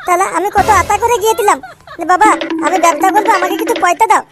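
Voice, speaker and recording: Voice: very high-pitched, quick pace, heavy background noise. A performer voicing a cheeky, foul-mouthed little boy in a comic cartoon, boastful and grumbling with a childish lisp. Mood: indignant complaining.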